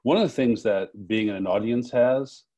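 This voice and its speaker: A man interviewing in a reflective, unscripted way, halting often as he searches for his words. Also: medium pitch, warm tone. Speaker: interviewer, festival co-director.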